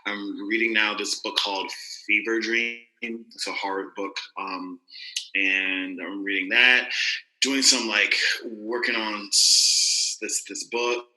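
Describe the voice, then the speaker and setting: A man co-hosting a podcast as a teacher, speaking thoughtfully and haltingly over a video call. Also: lowish voice, level tone, sharp tone, low-quality recording. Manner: thoughtful, halting, restarts phrases. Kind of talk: casual conversation.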